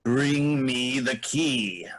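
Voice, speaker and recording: A man's voice: mid-range voice, very slow, fairly bright tone. A man playing a villainous, commanding moose queen in a staged play reading. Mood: commanding, irritated. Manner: commanding, menacing.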